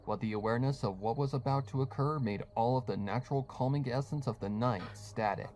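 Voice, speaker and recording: A man's voice: mid-range voice, fast talker, a crowd in the background. A man reading scripted horror fiction as a storytelling narrator.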